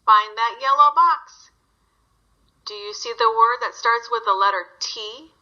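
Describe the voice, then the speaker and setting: A woman's voice: a little high, a little slow, bright, forceful tone, highs cut off. A woman reading a story aloud to young children, guiding them with simple questions.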